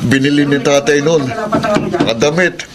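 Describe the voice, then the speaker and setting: A man's voice: fairly high pitch, steady tone, a little slow, heavy background noise, natural voice. A man playing a dutiful, downcast adult eldest brother in a Filipino radio drama.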